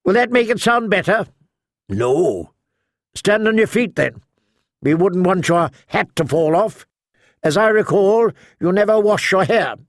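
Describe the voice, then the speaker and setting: A male narrator performs an old noblewoman for a fantasy audiobook. The voice is raised and creaky, close and dry. She is sharp and imperious, with a blunt, mocking way of giving orders. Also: sits high, animated, a little slow, no room sound, pitched above the performer's own voice. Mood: commanding, wry. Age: older.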